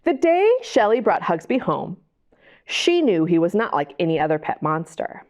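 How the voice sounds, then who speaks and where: A woman hosting storytime, reading a picture book to young children in an animated way, with chatty questions and asides to the listeners. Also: fairly low pitch, normal speed, highs cut off. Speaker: library storytime host and reader.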